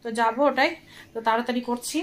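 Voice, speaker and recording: A woman's voice: a little high, steady tone, quick pace. A woman, narrating a casual family vlog and talking directly to her viewers in a conversational way. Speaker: family lifestyle vlogger.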